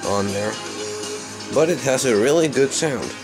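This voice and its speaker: A man giving a casual, unscripted hands-on demonstration in a low, creaky voice, recorded on a handheld camera microphone. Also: lively intonation, measured pace, music in the background. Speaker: hobbyist video maker. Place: small indoor workroom.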